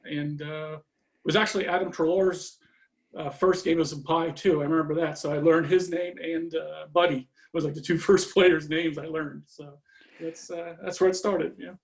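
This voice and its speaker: Casual: norway-born guy, kinda high gravelly voice, laid back, lots of ums, trails off. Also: moderate pace. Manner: relaxed, lots of fillers and trailing off. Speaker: interview guest. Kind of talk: casual conversation.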